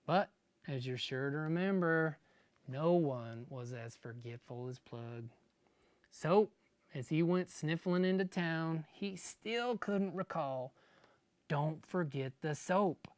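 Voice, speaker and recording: Children's storyteller, folksy, expressive, slow deliberate phrasing, dramatic emphasis, mid-range pitch. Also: smooth, no room sound. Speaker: storyteller reading aloud.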